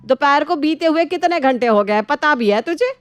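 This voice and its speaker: A woman's voice: high voice, lively intonation, moderate pace, no echo, voice pushed up. A woman voicing an older, shrewd mother-in-law in a family story, with a scolding, commanding manner.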